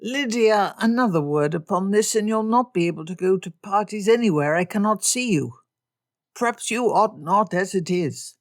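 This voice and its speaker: A woman voicing a weary father with dry, deadpan wit. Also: medium pitch, moderate pace, no echo, close to an everyday voice. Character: weary dry-witted father of five daughters.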